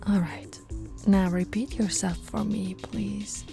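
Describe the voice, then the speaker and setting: A woman playing a patient, teasing policewoman in an intimate ASMR roleplay, talking in a soft, coaxing, conversational way. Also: mid-range voice, fairly even intonation, slow, creaky, music in the background. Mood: gentle, coaxing. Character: flirty, patient, teasing policewoman reassuring a suspect.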